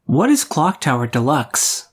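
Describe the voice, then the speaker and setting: A man's voice: mid-range voice, sing-song, a little slow, music in the background. A man reading out scripted interview questions as a podcast host.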